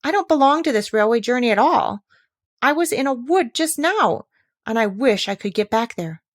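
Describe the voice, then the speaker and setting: A woman's voice: on the high side, expressive, normal speed, dry recording, clean studio sound, voice pushed up. Young storybook heroine, polite yet impatient, plaintive and earnest, voiced by an adult woman.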